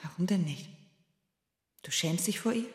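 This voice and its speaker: A woman acting a thoughtful young lover in radio play dialogue, soft-spoken yet gently challenging. Her voice is deep for a woman and very mellow, set in a strongly echoing space. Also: expressive, normal speed, lightly acted. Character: thoughtful, probing woman in love, twenty-eight.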